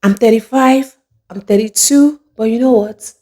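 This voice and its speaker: Female motivational host with a soft, mellow tone, addressing viewers directly and driving points home with emphatic repetition. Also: on the high side, normal speed. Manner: emphatic, repeats phrases for effect. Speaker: video host.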